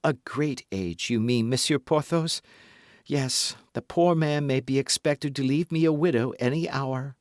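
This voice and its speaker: A man's voice: mid-range voice, unhurried, dry recording, clean studio sound, pitched above the performer's own voice, close to an everyday voice. A man reading an audiobook, voicing a proud, anxious lawyer's wife in a raised, pleading voice. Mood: scheming. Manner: piqued, pleading.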